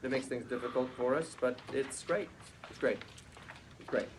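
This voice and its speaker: An actor playing a wry, showy husband with theatrical, drawn-out delivery in a sitcom table read. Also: male voice, mid-range voice, close to an everyday voice. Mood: sardonic. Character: wry, sardonic, showy husband hiding his discontent. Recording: noisy live room recording.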